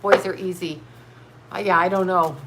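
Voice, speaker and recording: A woman's voice: lowish voice, fairly even intonation, normal speed, a bit bright, slight background noise, low-quality recording. A middle-aged woman with a stuffy nose, chatting bluntly and doing a tarot reading for live viewers. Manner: blunt, swears, laughs often, scattered. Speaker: tarot reader answering live chat. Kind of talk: casual live-stream chat and card reading.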